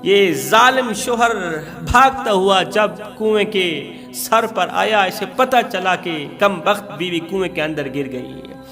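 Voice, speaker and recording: A male preacher with a notably high-pitched voice tells a story as an impassioned sermon. His delivery is dramatic and pleading, hammering phrases through repetition. Long reverb surrounds the voice, with music underneath. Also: animated, slow, few pauses, bright, forceful tone.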